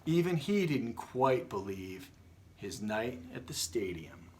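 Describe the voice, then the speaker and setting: A man hosting a children's read-aloud, moving between expressive storytelling and casual chat. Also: on the low side, slow, a little hiss or hum. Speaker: story reader and host.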